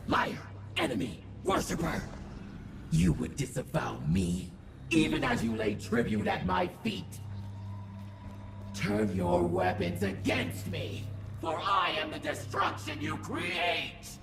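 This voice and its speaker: A woman's voice: on the low side, wildly swooping pitch, takes it slowly, vocal fry, exaggerated voice. A woman voicing a wrathful, imperious alien war god in a video game, declaiming with monstrous reverberant processing. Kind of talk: dramatic video game acting. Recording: echoing, distant, with music behind.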